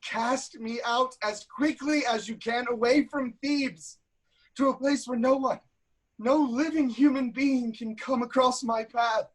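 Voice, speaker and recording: A woman's voice: a little high, fairly even intonation, unhurried, rough recording. A woman playing an anguished, self-loathing blinded king in a declamatory, lamenting style of Greek tragedy. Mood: pleading. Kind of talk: theatrical Greek tragedy acting.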